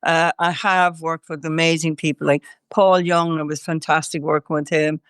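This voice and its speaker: Older Irish woman, smooth voice, chewing gum. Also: deep voice, level tone, normal speed, a bit bright, no echo, clean studio sound. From Ireland. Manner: rambling, many fillers and restarts; chewing gum while talking. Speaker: guest singer. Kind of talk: casual interview chat.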